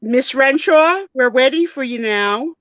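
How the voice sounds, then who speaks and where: A woman's voice: sits high, animated, unhurried, fairly bright tone, no room sound, lo-fi sound, voice pushed up. A woman voicing a chirpy, eager trainee nurse in a comic skit, with sing-song professional politeness. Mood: chirpy, businesslike. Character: chirpy female nurse, eager first-day medical student.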